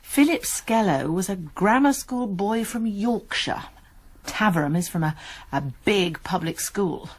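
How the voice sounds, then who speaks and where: A sensible college nurse with an English accent. She speaks with measured, earnest concern in a naturalistic British radio drama style, at a medium pitch. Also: animated. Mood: concerned, frank. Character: concerned, sensible college nurse, woman, helping police friend.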